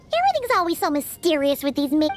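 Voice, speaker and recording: A tiny childlike fairy sidekick from a video game. The voice is extremely high and squeaky, with animated, bouncing pitch. She is chatty and exclamatory. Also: fast, faint music behind. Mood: curious, puzzled. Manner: exclamatory, refers to self in third person. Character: small childlike floating fairy companion, excitable and chatty.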